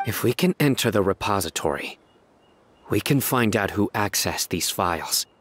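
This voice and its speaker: An actor voicing a stern, composed young enforcer in a video game, speaking in a measured, formal, matter-of-fact way. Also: male voice, lowish voice, animated, fairly bright tone, a little hiss or hum. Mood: serious, businesslike. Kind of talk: scripted video game dialogue.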